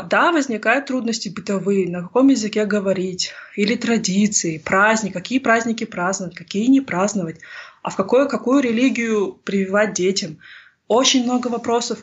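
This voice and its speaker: A woman's voice: medium pitch, a bit bright, slightly rough. A woman in her thirties from Kazakhstan, speaking earnestly in a reflective interview and often searching for words. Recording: slightly dull lo-fi recording.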